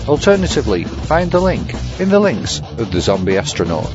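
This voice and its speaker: A man's voice: medium pitch, sing-song, brisk, lightly acted. A man presenting a scripted review segment as narration. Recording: slightly muffled, music behind.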